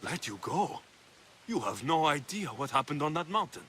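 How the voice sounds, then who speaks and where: A young male mercenary and pleading brother in a video game drama. He is earnest and insistent, with a bright, forceful tone and lively intonation. Faint hiss lies behind the voice. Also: a little high, normal speed. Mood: sorrowful.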